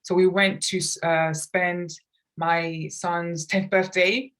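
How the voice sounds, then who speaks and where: Woman from London, fairly low voice, earnest, reflective, conversational podcast guest. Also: level tone, takes it slowly, fairly bright tone. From grew up in London, England. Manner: earnest, reflective, talks at length about personal experience. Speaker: guest, children's author and artist.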